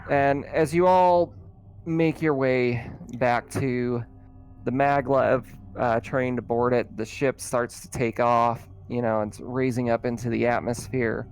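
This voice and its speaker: A man's voice: mid-range voice, fairly even intonation, slow pace. A man casually narrating and refereeing a tabletop roleplaying game as game master. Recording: noticeable background noise.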